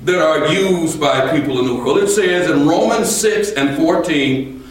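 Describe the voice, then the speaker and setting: A man preaching a sermon in a temple, his voice a little strained, speaking slowly and deliberately and rising to emphatic points. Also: on the high side. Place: temple sanctuary. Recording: echoey hall from far off.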